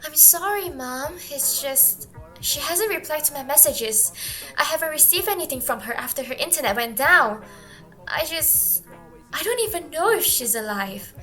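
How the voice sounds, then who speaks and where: A woman playing a worried, sleepless young girl in a radio drama, speaking hesitantly and haltingly. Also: sits high, animated, sharp tone, soft background music, poor audio. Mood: anxious, apologetic. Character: worried, sleepless young girl fretting over her friend.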